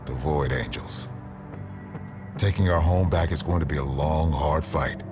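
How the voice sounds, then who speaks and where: A man playing a solemn, weary war leader in a video game, speaking gravely in measured, formal phrases. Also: sits low. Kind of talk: video game dramatic acting. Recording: game music behind the voice.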